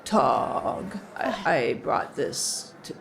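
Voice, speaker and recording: A woman playing an eager, rambling small-town hobbyist in an improvised comedy audio drama, halting and repetitive, with a creaky voice. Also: a bass voice, very animated, voice pushed down, heavily acted. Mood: earnest excitement. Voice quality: creaky, gravelly. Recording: busy crowd chatter behind.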